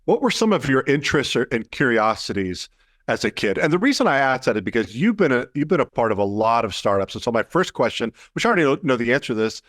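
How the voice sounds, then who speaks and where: A man's voice: mid-range voice, very animated, fast talker, a bit bright, no room sound, clean studio sound. A man hosting an interview podcast, asking long, thoughtful, winding questions. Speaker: podcast host.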